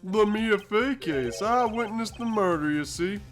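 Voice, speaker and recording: A man's voice: high voice, lively intonation, a little slow, a bit bright. Man playing a pompous, smug corporate villain, music behind. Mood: imperious. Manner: pompous, condescending. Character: smug, menacing male corporate blackmailer, pompous and theatrical. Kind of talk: fan dub of a courtroom video game.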